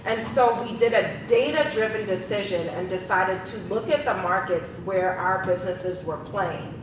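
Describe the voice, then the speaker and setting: A woman's voice: mid-range voice, moderate pace. A woman entrepreneur, speaking confidently and emphatically on a panel, addressing the audience directly. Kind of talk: panel discussion answer. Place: panel event in a hall. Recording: echoey room, distant mic, background noise.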